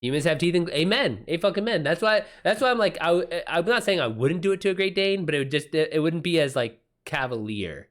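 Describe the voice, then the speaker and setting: A man's voice: fairly high pitch, animated, brisk, hardly any pauses, fairly bright tone. Casual, profane guy on a livestream. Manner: slangy, profane, emphatic. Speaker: live streamer chatting with viewers.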